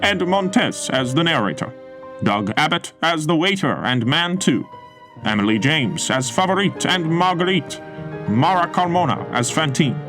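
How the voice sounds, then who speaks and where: A male announcer reads a polished, formal sign-off for a radio theatre show. His voice sits fairly high for a man, and guitar music plays clearly underneath him. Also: sing-song, measured pace. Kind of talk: scripted closing announcement. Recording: music playing behind.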